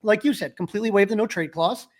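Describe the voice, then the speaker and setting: Man, high voice, sports podcast host, casual talk, emphatic, opinionated. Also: quick pace.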